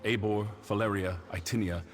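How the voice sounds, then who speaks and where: A man voicing a grave, authoritative imperial councillor in a video game, measured and official. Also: fairly low pitch, fairly even intonation, over music, natural voice. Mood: urgent, resolute.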